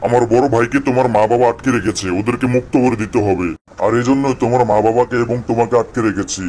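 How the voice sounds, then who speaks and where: A man's voice: medium pitch, fast talker, a little mellow, a little hiss or hum, natural voice. A man voicing a menacing jinn captor in a children's cartoon, commanding and threatening. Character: menacing male jinn captor making demands. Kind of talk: children's cartoon story acting.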